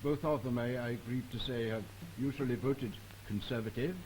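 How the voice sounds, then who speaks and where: A British man moderating a formal 1950s political broadcast in a measured, halting, deliberate manner. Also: mid-range voice. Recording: hissy 1950s archive broadcast recording.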